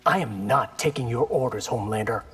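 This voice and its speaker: A man's voice: mid-range voice, brisk, fairly bright tone, breathy edge, faint music behind. A man playing a defiant young martial artist hero in a video game, dry and sarcastic.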